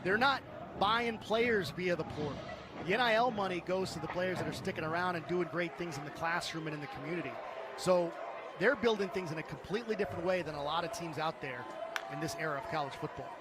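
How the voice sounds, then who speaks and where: A man's voice: a little high, brisk. A man calling live football play-by-play as a sports commentator.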